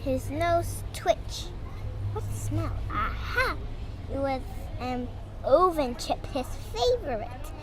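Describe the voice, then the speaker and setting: Young girl child, high voice, slow halting storybook read-aloud, faint background noise. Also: big pitch swings. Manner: halting, stumbling over words. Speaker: young reader.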